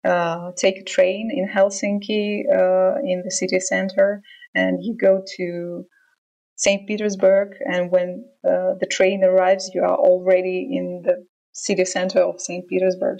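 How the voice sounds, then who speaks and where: A woman with a Russian accent, answering interview questions in a measured way, pausing often with ums. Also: on the low side, level tone, gravelly, dark tone, dull audio. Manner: measured, hesitant with frequent ums. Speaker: band member interviewed.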